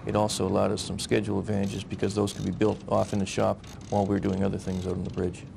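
A man's voice: on the low side, completely flat delivery, fast talker, gravelly, background noise. A man in an interview explaining overnight bridge work, plain-spoken with some hesitation.

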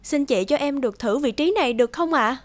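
A young woman voicing a polite, deferential new graduate in an animated series. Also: high-pitched, brisk, dry recording. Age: young adult. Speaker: new art graduate in a label-cutting job.

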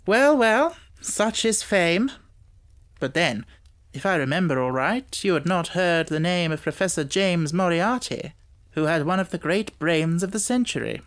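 A man's voice: sits high, animated, measured pace, fairly bright tone. A man voicing a keen, self-assured Victorian detective with an emphatic, lecturing manner in an audiobook. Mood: animated, wry.

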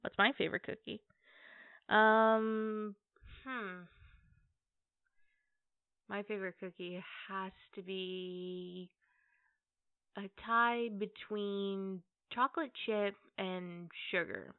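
A woman playing an affectionate, playful girlfriend in a casual, conversational ASMR roleplay, with hesitant pauses. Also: medium pitch, fairly even intonation, clear, resonant tone, no room sound. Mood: curious, thoughtful. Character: affectionate, playful girlfriend baking cookies at home. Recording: tinny, phone-like band-limited audio.

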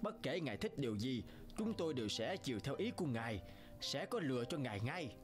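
A man's voice: medium pitch, sing-song, fairly quick, music in the background, close to an everyday voice. A man voicing a greedy, imperious middle-aged king in a cartoon fairy tale, theatrical and commanding. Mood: fawning.

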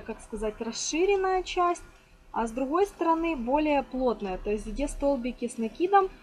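A woman informally explaining a hands-on craft step by step, as a tutorial host. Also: high voice. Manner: fond of diminutives, explains step by step. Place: indoors at a table. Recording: noisy amateur home recording.

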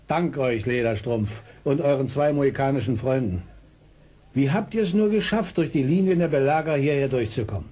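Casting: male actor as proud colonel of a besieged fort, mellow but husky, formal and measured, for radio play. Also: medium pitch, lightly acted. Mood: grateful, gruff. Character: beleaguered British fort colonel, gruff proud father. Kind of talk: 1970s German audio drama acting.

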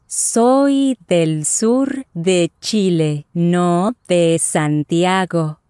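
A woman voicing Isabella, a friendly young traveler in a scripted lesson dialogue, speaking with clear careful enunciation. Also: on the low side, very slow, mellow, no room sound, clean studio sound. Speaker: voice actor in language lesson dialogue. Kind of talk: scripted slow and normal-speed lesson dialogue.

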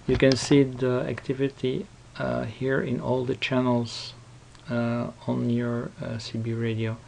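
A man giving an unscripted software tutorial, explaining step by step in a halting, repetitive way. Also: mid-range voice, level tone, vocal fry. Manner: explanatory, halting, repeats himself. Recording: noticeable background noise.

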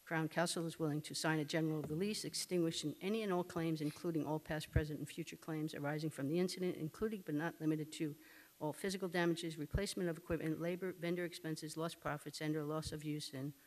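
A man delivers staff recommendations at a council meeting from a desk mic. His voice sits somewhat high for a man. He reads in a measured, formal way. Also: steady tone, poor audio. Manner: measured, formal report reading. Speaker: city staff member presenting reports. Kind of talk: reading staff reports. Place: council chamber. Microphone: desk microphone.

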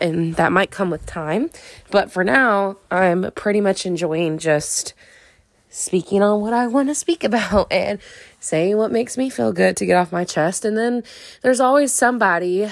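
A woman's voice: medium pitch, vocal fry, no echo, clean studio sound. A young woman hosting her own podcast alone, a little sniffly from a cold, talking openly in a rambling, confessional way with lots of fillers. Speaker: podcast host. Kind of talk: casual solo podcast monologue.

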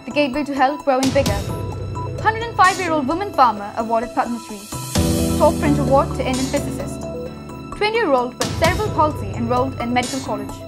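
A young woman reading a school news bulletin as the anchor, with a brisk, even headline delivery. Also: high-pitched, over loud music. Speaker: student news reader.

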